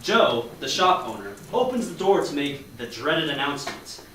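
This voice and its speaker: A man's voice: a little high, very animated, moderate pace, a bit bright. A man reading stage directions as narrator of a comic play at a staged reading. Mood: narrating. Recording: echoey hall from far off, faint noise.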